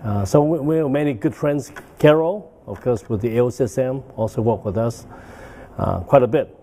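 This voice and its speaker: Man in his 60s, medical lecture, rambling, soft mellow tone. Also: medium pitch, moderate pace. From Hong Kong. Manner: rambling, with frequent "uh" and "okay?" tags. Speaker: guest lecturer. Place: banquet hall.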